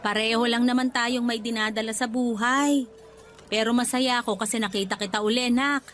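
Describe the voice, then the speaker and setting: An actress playing an older, devoted nanny in a Tagalog radio drama, tender and emotional in manner. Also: female voice, a little high, steady tone, normal speed, fairly bright tone, a little hiss or hum, lightly acted. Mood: sorrowful. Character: older tearful devoted nanny, motherly and faithful. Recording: background music under the dialogue.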